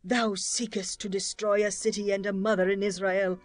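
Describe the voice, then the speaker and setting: A woman's voice: mid-range voice, flat delivery, normal speed, dry recording, faint music behind, lightly acted. A woman playing a wise, dignified townswoman who pleads solemnly in a dramatized scripture reading, in formal speech. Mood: solemn pleading.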